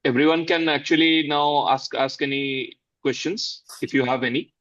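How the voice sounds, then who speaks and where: A man's voice: fairly high pitch, fairly even intonation, moderate pace, fairly bright tone. A man moderates an online lecture over a video call, hesitating and padding his words with fillers. His audio is dull and lo-fi, with a dry sound and no room echo. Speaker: session moderator.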